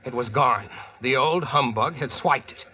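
A man's voice: medium pitch, measured pace, very noisy, natural voice. An actor playing a wry, plainspoken Yankee spinning his tall tale in folksy slang, in 1940s radio drama style. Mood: wry, tense. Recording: vintage radio recording with music behind.

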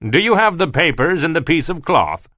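A man voicing a hearty country squire who speaks with loud, stately praise. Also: a little high, very animated, normal speed, no room sound, clean studio sound, voice pushed up, heavily acted. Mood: approving.

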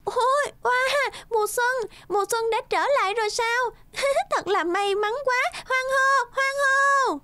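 A woman's voice: very high-pitched, slow, sharp tone, no echo, voice pushed up, exaggerated voice. A woman voicing a childlike, lazy swan in a cartoon fairy tale, exclamatory and gushing. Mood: overjoyed. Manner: exclamatory, gushing and self-pitying. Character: lazy pink swan, childlike, gleeful then whiny. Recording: music under the voice.

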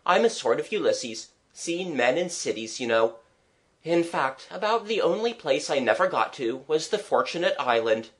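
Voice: mid-range voice, lively intonation, normal speed, voice pushed up, natural voice. A reader voicing a genial, well-travelled man who paints, with a dry, kindly, musing manner in a storybook. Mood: breezy.

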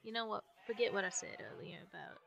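A woman's voice: medium pitch, quick pace, gravelly. A woman playing an easygoing young ranch hand in an ASMR roleplay, chatting in a folksy way to a newcomer. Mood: relaxed, friendly. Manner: folksy, drawling phrasing with ain't and gotta. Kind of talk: ASMR roleplay, one-sided chat. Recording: background noise with farm animal ambience.

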